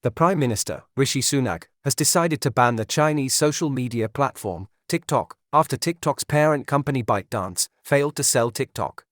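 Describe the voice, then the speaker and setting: A man playing a grave emergency broadcast announcer, reading a scripted bulletin in a formal, measured way. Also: medium pitch, expressive, dry recording, studio quality, close to an everyday voice.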